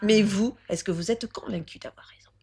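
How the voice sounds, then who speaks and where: A woman's voice: mid-range voice, fairly quick, mellow, husky. An actress playing a stubborn, self-assured clown woman with a strong odd accent and rolled R, who keeps insisting and turning every argument around in a comic interview sketch. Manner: insistent, repeats herself, turns arguments around.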